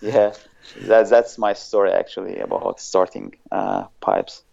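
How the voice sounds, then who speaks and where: A man's voice: sits low, steady tone, unhurried, vocal fry, darkish tone, highs cut off. A man from Azerbaijan with an Azerbaijani accent, a guest on an interview show who talks hesitantly and often searches for words. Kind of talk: casual interview chat.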